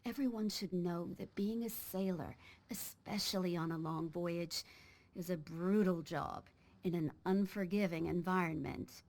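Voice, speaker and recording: A voice actress playing an earnest, professional female ship's surgeon in a video game, explaining things in a measured, cautioning way. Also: lowish voice, darkish tone. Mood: grave, cautioning. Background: background music.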